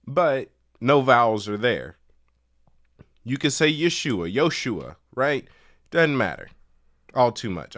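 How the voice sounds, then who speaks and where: A man giving a solo scholarly talk, explaining things step by step in a measured way. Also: mid-range voice, fairly bright tone. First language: English. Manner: measured, explanatory, spells out letters. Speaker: teacher.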